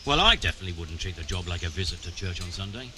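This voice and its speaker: An actor playing a stern, middle-aged medieval mining chief in a video game, brusque and commanding, with formal wording. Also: male voice, sits low, animated, rapid-fire, noisy background. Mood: firm, warning. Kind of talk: scripted video game dialogue. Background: music.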